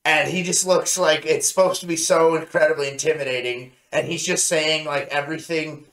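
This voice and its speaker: A man's voice: a little high, fairly even intonation, brisk, a bit bright. A man co-hosting a review show, talking casually with blunt opinions, recorded in a roomy, echoey space. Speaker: podcast co-host reviewing anime.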